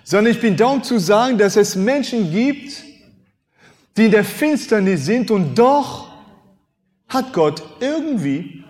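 A man in his fifties, a native French speaker, preaching a sermon with animated rhetorical questions and emphatic swings in loudness. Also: high-pitched, unhurried. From France. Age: 50s. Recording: long hall reverb.

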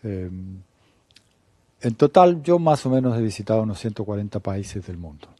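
An older man from Chile, a guest theologian answering interview questions in a measured, unhurried way with many pauses. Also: on the low side, mellow, no room sound.

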